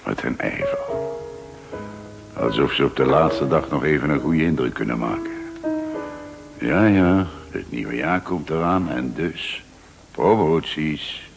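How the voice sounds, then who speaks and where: An actor playing a sardonic, weary office superior in a Dutch radio drama, with a mocking, grumbling way of talking. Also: male voice, extremely low pitch, slow pace, gravelly, long pauses, over music, exaggerated voice. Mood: dry sarcasm.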